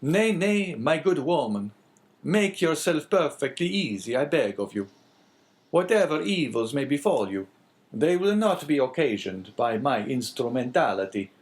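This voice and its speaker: A man reading an audiobook voices a calm, earnest priest in a solemn, measured way. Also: medium pitch, pitched above the performer's own voice. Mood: reassuring. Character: calm, earnest middle-aged male priest.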